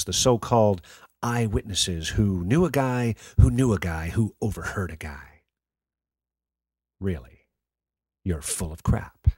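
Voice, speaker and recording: A man playing a sardonic, sceptical late-night radio host, with a wry, theatrical manner and a husky voice. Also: lowish voice, no echo, clean studio sound. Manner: wry, theatrical, deadpan sarcasm. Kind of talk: radio play acting as talk host.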